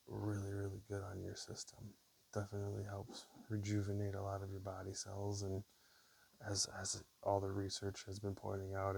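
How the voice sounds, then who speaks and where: A man speaking softly and casually in an ASMR style, sometimes whispering, with a hesitant, unhurried, low gravelly murmur. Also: poor audio. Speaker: ASMR creator. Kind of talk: ASMR, soft-spoken and whispered personal talk. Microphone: camera or basic mic, spoken close. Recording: faint hiss on amateur mic.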